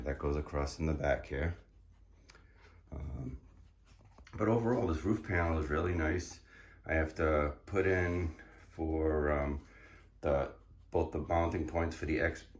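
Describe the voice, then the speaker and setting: A hoarse man casually walking through his build in a garage workshop, explaining with many fillers and restarts. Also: a bass voice. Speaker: car builder showing his project.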